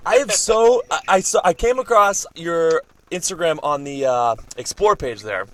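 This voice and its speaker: A man hosts a casual podcast interview, eager and excitable, asking rambling questions full of "you know" and "uh". His voice sits somewhat high for a man. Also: normal speed. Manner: excitable, eager, lots of fillers. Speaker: podcast host.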